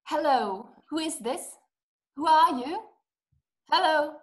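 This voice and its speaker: A high-pitched young woman playing an anxious, housebound wife in a drama. She is fretful and indignant, fragile yet demanding, and complains in formal phrases. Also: expressive, takes it slowly, slight background noise. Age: young adult. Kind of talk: stage-style dramatic acting. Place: small room at home. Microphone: laptop on video call.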